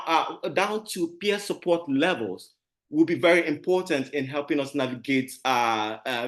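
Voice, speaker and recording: A man talks as a panelist on an online call, in a fairly high voice for a man. He hesitates, reaches for words and corrects himself as he goes. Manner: hesitant, many fillers and self-corrections. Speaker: webinar panelist. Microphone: video call.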